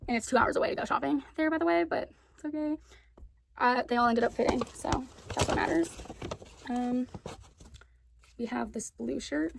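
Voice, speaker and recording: A teenage girl vlogging casually at home, chatty and full of fillers, with a raspy voice. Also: high-pitched, moderate pace, long pauses. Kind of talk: casual chat. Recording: noisy home recording.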